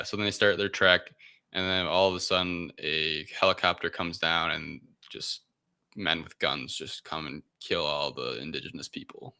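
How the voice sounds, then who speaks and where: A man podcast host casually recapping a story, halting as he searches for words. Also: low voice, pushed and bright. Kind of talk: casual spoken movie plot recap.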